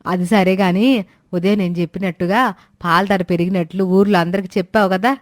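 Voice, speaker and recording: Woman acting a nagging, low-pitched village wife. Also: flat delivery, fairly quick, dry recording, clean studio sound, natural voice. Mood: scornful. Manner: scolding, sarcastic. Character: nagging, greedy, sharp-tongued village wife. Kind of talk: acted dialogue in a moral fairy tale.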